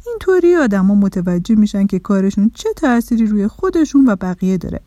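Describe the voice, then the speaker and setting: A woman's voice: on the high side, warm tone, no echo, clean studio sound, pitched above the performer's own voice. A woman voicing a funny, tiny, self-important man in a children's story, explaining things emphatically. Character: funny, tiny, busy, self-important male keeper of consequences.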